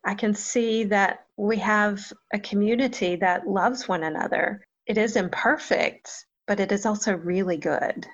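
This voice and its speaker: A woman's voice: mid-range voice, unhurried, few pauses, highs cut off. A woman pastor giving a thoughtful, reflective interview answer, often laughing at herself.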